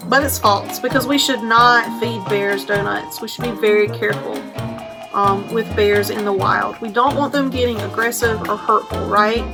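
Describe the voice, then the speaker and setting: A woman's voice: medium pitch, fairly even intonation, normal speed, creaky, over music. An American woman hosting a storytime as a children's librarian, putting simple questions and explanations to young children.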